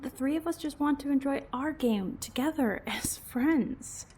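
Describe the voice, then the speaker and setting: A woman's voice: high-pitched, unhurried, a little mellow. A woman acting a patient but exasperated game master in a comedy audio drama, explaining and pleading wearily. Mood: hurt pleading. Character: patient, exasperated female game master and put-upon girlfriend.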